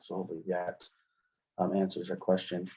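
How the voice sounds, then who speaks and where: A middle-aged American man giving a casual, step-by-step software demonstration as a webinar trainer, speaking into a headset. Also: sits low, completely flat delivery, fairly quick, highs cut off. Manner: explanatory, step-by-step. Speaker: assistive technology trainer.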